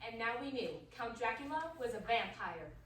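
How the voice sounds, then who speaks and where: A woman's voice: on the high side, animated, normal speed, a bit bright. Woman playing a commanding professor, very echoey room. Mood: grave, certain. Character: commanding, earnest professor, hypnotist and vampire hunter. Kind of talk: stage-like radio drama acting. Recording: echoey room, distant mic, noisy.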